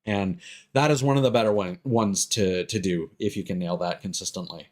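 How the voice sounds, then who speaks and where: A man's voice: low-pitched, moderate pace, a little mellow. A man streaming a video game, giving casual commentary in a rambling, explanatory way that halts mid-thought. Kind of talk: casual live gameplay commentary. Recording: game music behind the voice. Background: video game music.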